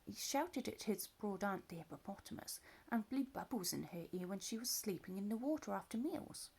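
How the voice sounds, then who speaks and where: A woman reading a children's story aloud in an expressive, playful storytelling manner. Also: mid-range voice, vocal fry, slightly rough.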